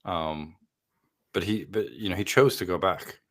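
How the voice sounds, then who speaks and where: Deep-voiced man hosting an interview. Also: a little slow, a bit bright. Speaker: host. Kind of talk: conversational interview.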